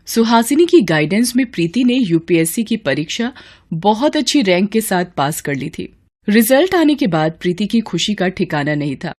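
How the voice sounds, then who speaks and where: A woman narrating a scripted story as a storyteller, in an expressive, dramatic manner. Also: medium pitch, moderate pace.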